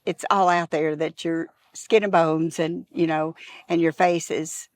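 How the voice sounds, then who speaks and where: A woman in her sixties, a guest in a casual chat, agreeable and telling stories in a relaxed way. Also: low voice, measured pace. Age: 60s. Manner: agreeable, affirms often, relaxed storytelling.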